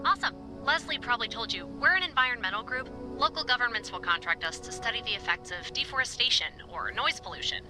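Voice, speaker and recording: A woman's voice: on the high side, expressive, fast talker, hardly any pauses, over music, natural voice. A woman acting a friendly, chatty environmental researcher giving a briefing by walkie-talkie in a video game. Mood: friendly, upbeat. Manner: chatty, rambling, upbeat. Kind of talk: naturalistic video game dialogue.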